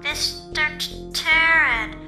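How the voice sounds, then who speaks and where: Polite little game robot, super sharp and bright, slow, echoey. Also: female voice, high voice, heavily acted. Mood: polite concern. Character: small polite helper robot. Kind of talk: fan dub of a visual-novel video game. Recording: echoey with music behind.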